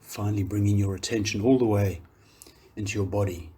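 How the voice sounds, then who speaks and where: A man guiding a meditation, speaking calmly and gently in unhurried phrases with long pauses. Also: fairly low pitch, slightly rough. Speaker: meditation guide, yoga teacher. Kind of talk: guided meditation, slow soothing instruction.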